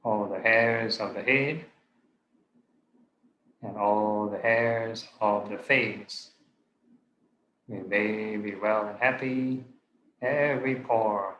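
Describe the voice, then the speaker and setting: A man, a Buddhist monk guiding a meditation in short, deliberate phrases with long pauses. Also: lowish voice, fairly even intonation, lo-fi sound. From Singapore. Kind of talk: guided meditation instruction. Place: online video call session in a room. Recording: roomy echo, clear background noise.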